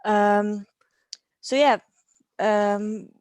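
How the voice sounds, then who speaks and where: A woman's voice: medium pitch, steady tone, very slow, darkish tone, no room sound. A Hungarian woman in her thirties, a guest in a casual interview, talking in a friendly way with frequent ums and light laughs. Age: 30s.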